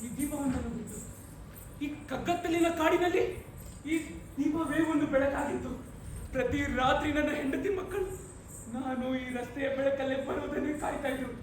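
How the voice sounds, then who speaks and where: In a very echoey hall with slight noise, a man plays a haunted outcast dancer in a stage play. He declaims bitterly in a high-pitched voice, stretching words with grief and passion. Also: fairly even intonation. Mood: mournful, resigned. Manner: theatrical, impassioned, drawn-out delivery. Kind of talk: declamatory amateur stage acting. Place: indoor stage, live play.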